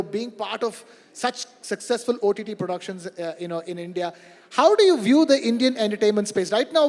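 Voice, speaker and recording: A man moderating a summit panel, asking long questions in a brisk, talkative way. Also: sits high, darkish tone. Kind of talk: moderating a panel discussion, mixing English and Hindi. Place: large event hall on stage. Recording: long reverb of a large hall.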